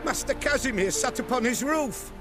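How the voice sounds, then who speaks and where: High-voiced folksy villager. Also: male voice, animated, moderate pace. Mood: gossipy. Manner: folksy, rustic dialect phrasing. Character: gossiping rustic male villager, plain-spoken. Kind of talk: video game character acting. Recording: loud music behind.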